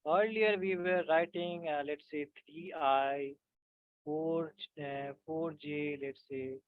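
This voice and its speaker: A male teacher leads an online physics class in a slightly raised voice. He talks slowly and haltingly, filling gaps with "uh" and checking in with "right?" The sound is thin and phone-like, with no room echo. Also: a little high, fairly even intonation. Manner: halting, many fillers, keeps checking with "right?". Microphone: video call. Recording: tinny dry call audio.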